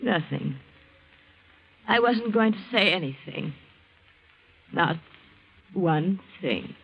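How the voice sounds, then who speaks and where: A woman's voice: lowish voice, expressive, slow pace, creaky, heavily acted. An actress playing a frightened, evasive married woman in a 1940s radio drama, faltering and stammering as she defends herself under questioning. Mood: shocked, evasive. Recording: dull vintage radio recording.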